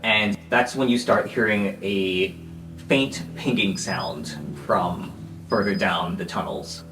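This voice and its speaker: Low-voiced man running a tabletop game. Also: takes it slowly. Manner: conversational, descriptive, with hesitations. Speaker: game master. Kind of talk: narrating a tabletop roleplay. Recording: roomy echo, music under voice. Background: background music.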